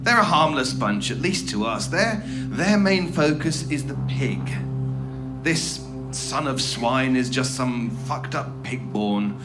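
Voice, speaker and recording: A man's voice: mid-range voice, lively intonation, slow pace, music in the background. A man playing an impatient, sarcastic interrogator for a secretive organization in an audio horror drama. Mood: uneasy, dismissive.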